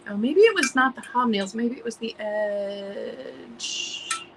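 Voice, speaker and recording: A woman hosting a live online vintage sale in casual chat, a little hoarse from allergies and clearing her throat now and then, greeting viewers by name as she describes items. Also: fairly high pitch, sing-song, slow pace, background noise. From United States.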